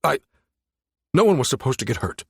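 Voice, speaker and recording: A man's voice: medium pitch, sing-song, a little fast, vocal fry, husky, no room sound, clean studio sound, voice pushed up, exaggerated voice. A man voicing an older male scientist in an audiobook, stern, indignant and easily rattled, with a blustering, demanding manner. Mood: stunned.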